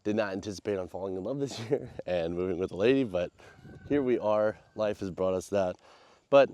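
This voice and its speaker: Male American vlogger, lowish congested nasal voice, loose slangy chat, dry close sound. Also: brisk, dark tone, dry recording. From United States. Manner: informal, slangy, rambling. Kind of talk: casual vlog chat.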